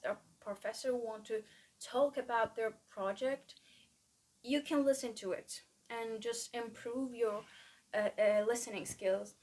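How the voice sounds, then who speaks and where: Slow, hesitant woman. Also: fairly high pitch, darkish tone, rough recording. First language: Persian. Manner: hesitant, many fillers and restarts. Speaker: exam-prep vlogger. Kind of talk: casual study-plan talk.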